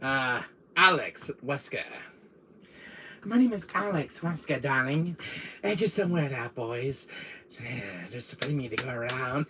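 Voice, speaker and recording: Man plays flirty female villain for comic roleplay: campy, cooing, widely swooping delivery, bright edge. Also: mid-range voice, expressive, a little hiss or hum. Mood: flirty, preening. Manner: campy, drawn-out, cooing endearments.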